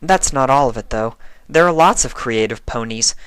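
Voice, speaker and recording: A man's voice: medium pitch, expressive, moderate pace, fairly bright tone, clean tone, no room sound, pitched above the performer's own voice, natural voice. An earnest young mare pony from a storybook, voiced by a man, chatting politely and eagerly. The voice is lifted above the reader's own and recorded very dry, with an explaining, helpful manner. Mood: cheerful, informative.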